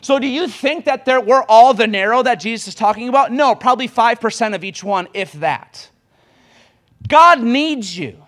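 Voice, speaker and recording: A man. His voice sits very high for a man, and he drives his points hard, racing ahead and then punching words out louder. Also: expressive. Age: 20s. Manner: impassioned, rapid exhortation with sudden bursts of volume. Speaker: preacher. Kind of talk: sermon.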